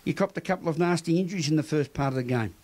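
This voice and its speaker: An Australian man presents a community TV news bulletin, with a voice pitched a little higher than usual for a man. He speaks in a measured, formal way. He reads out the local weather and asks interview questions. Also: a little mellow.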